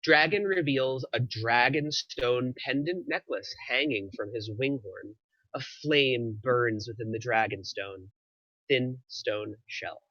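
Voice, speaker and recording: Bright, forceful male script narrator. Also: mid-range voice, slow pace, no room sound, muffled recording. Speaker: narrator reading stage directions. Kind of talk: scripted narration at a film table read.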